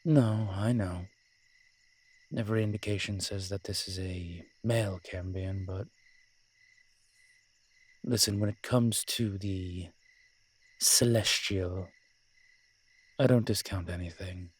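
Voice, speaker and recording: Man playing a husky, slow, low-voiced incubus demon. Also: steady tone, exaggerated voice. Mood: wry, explanatory. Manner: teasing, sardonic, unhurried with dramatic pauses. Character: wry, flirtatious, nervous male incubus demon. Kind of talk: roleplay audio drama addressed to the listener.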